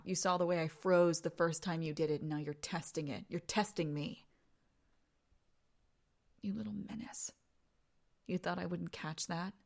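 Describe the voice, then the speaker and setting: A woman playing a teasing, domineering young woman in an intimate audio roleplay, creaky and breathy, often dropping into whispers. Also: on the low side, natural voice. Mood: accusing, intimate. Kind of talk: intimate audio roleplay, second-person dramatic monologue.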